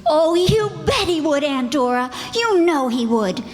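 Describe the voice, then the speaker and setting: Woman playing a brash high-pitched young girlfriend. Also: sing-song, a little slow. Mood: pleading, insistent. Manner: breezy, slangy, forthright. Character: brash, perky young girlfriend, outspoken and pleading. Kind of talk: old-time radio drama acting. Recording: echoey hall stage sound.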